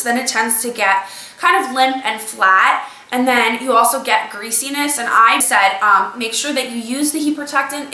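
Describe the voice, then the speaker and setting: A woman's voice: a little high, pushed and bright, recorded from a distance. Chatty young woman with a slight cold. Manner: chatty, explains step by step with many fillers. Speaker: beauty vlogger. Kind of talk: casual talk-through tutorial. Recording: echoey room, voice a bit off-mic.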